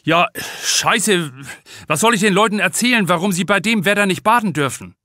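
A man's voice: high-pitched, moderate pace, bright, forceful tone, no room sound, studio quality, pitched above the performer's own voice. A man voicing a nervous, stammering young male lifeguard in an audiobook. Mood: panicky. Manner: hesitant, stammering. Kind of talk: audiobook character dialogue.

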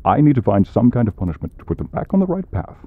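A man playing a grumpy, exasperated demon who punishes people, curt and gruff, in an ASMR comedy roleplay. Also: fairly low pitch, sing-song, fast, no echo, phone-quality sound. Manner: gruff, curt, long-suffering.

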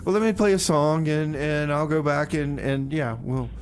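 A man's voice: a little high, moderate pace. A man hosting community radio with comedy banter, speaking in a hesitant, stammering way. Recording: clear background noise.

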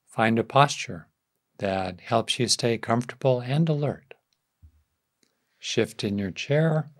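A man leading a guided meditation as a teacher, speaking gently and softly in short phrases with long pauses. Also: medium pitch, lively intonation, dry recording, studio quality.

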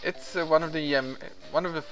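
A man's voice: fairly high pitch, steady tone, brisk, a bit bright, low-quality recording. A man whose first language is French, chatting casually in a rambling, hesitant way with lots of fillers while he plays a game. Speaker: gaming vlogger. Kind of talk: casual commentary over gameplay.

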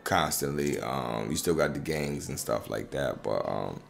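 A man's voice: a bass voice, expressive, unhurried, vocal fry, faint music behind. A man in his thirties from Connecticut being interviewed, talking casually in colloquial anecdotes with frequent check-in phrases. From Bridgeport, Connecticut. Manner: colloquial, anecdotal, frequent 'you know what I'm saying'. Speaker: basketball coach and youth facility worker being interviewed. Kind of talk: casual podcast conversation. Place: small indoor room. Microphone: desktop condenser microphone.

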